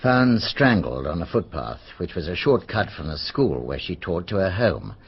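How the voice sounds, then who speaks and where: Gossipy police veteran, English accent, husky, animated intonation, radio drama, slightly dull audio. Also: male voice, sits low, normal speed, exaggerated voice. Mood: wry, knowing. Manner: colloquial, matter-of-fact.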